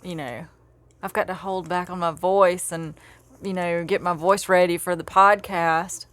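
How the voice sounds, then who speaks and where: A woman's voice: fairly low pitch, fairly even intonation, a little slow, a bit bright, no room sound. A middle-aged woman co-hosting a casual podcast, talking in a loose, giggly way. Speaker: podcast co-host.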